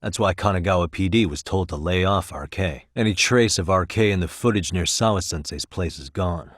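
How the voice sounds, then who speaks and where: Dogged game detective: deep voice, slightly husky, wry and terse, very dry close recording. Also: male voice, a little fast, dry recording. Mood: intent, serious. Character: determined, streetwise male detective, dogged and wry. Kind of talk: scripted video game dialogue.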